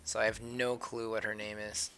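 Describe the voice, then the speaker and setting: A man live-streaming his sketching, mumbling his thoughts aloud as he draws, a little hoarse from a cold. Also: fairly low pitch, flat delivery, normal speed, sharp tone, faint music behind. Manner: mumbled thinking aloud, trailing off. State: slightly hoarse, losing his voice. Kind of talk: casual talk while drawing.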